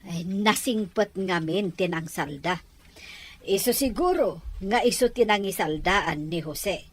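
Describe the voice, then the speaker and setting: A woman's voice: mid-range voice, unhurried, slightly rough. A woman with an Ilocano accent playing a matter-of-fact village neighbour in an audio drama. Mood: gossiping.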